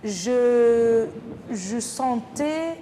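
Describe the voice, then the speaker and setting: Woman from Rwanda with a slightly high voice, interviewee; thoughtful and halting delivery. Reverberant room, faint noise. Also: animated, dark tone. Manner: thoughtful, with many pauses and fillers. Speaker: interviewee, French teacher. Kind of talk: casual interview talk.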